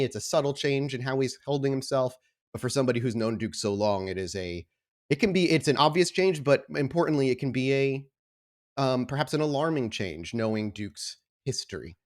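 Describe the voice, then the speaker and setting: A man improvising narration as game master of a tabletop game, with a halting delivery full of repeated words. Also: mid-range voice, lively intonation. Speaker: game master of an actual play podcast.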